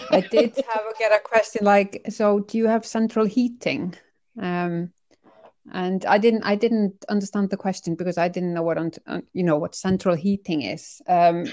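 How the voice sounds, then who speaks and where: A middle-aged woman from Iceland shares personal experiences in a relaxed interview. Her tone is dark and mellow, without sharp edges. She speaks conversationally, reflecting as she goes and hesitating over her phrasing. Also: medium pitch. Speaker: interview guest.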